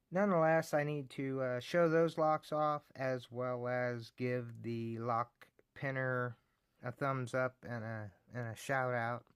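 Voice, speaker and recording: A man's voice: mid-range voice, clean tone, no echo, studio quality. A man with a Texan accent, rambling happily as he shows off his locks and keys in a casual vlog, chuckling often. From Texas. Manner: enthusiastic, rambling, says y'all, chuckles often. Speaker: lock collecting channel host. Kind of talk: casual show-and-tell vlog.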